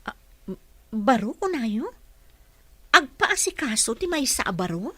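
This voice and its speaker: An actor playing an earnest young single mother looking for work in a radio drama, speaking gently, patiently and politely. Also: fairly high pitch, sing-song, unhurried, slightly rough, no room sound, full character voice. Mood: curious.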